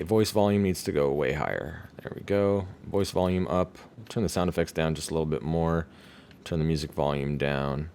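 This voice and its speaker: A man with a fairly low voice talks through his own gameplay. He is casual and laid-back, with some swearing, and there is faint noise behind him. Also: darkish tone. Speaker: gamer narrating his own gameplay video.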